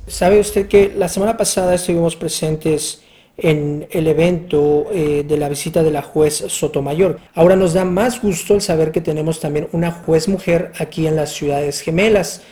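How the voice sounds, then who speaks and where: A mellow, relaxed interviewer with a mid-pitched voice, asking long questions at a measured pace and hesitating with fillers along the way.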